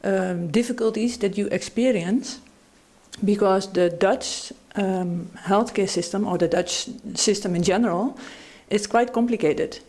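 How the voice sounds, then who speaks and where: A woman on a studio talk show panel, explaining her views thoughtfully with frequent pauses and fillers. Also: mid-range voice, darkish tone. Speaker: panel guest, medical anthropologist.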